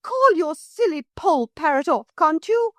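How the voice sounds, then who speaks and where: A woman's voice: big pitch swings, a little slow, dry recording. A woman voicing a rude, bad-tempered intruding lady who shrieks and snaps. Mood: shrieking. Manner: shrill, screeching.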